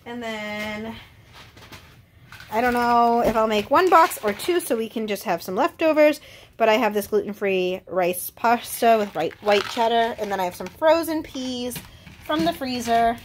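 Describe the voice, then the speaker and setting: A woman vlogging casually as she cooks at home, chatty and relaxed with frequent ums, her voice a little congested. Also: on the high side, measured pace, slight background noise. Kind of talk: casual kitchen vlog narration. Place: home kitchen.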